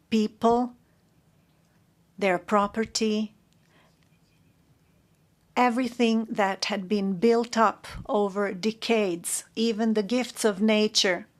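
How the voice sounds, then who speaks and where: Female court interpreter, middle pitch, very slow and halting, corrects herself. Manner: measured, halting, with self-corrections. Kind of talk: interpreting a formal statement.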